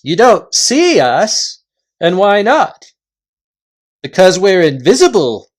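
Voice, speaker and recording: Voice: medium pitch, sing-song, slow, pitched above the performer's own voice. A storybook reader voicing a blustering, simple-minded invisible chief with a folksy, repetitive, rambling way of speaking. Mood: blustering, emphatic.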